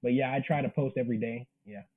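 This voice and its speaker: A man's voice: mid-range voice, fairly even intonation, quick pace, narrow, tinny audio. A man in his twenties chatting as an interview guest, earnest and slangy. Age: 20s. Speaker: interview guest, manga creator. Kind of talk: casual interview chat.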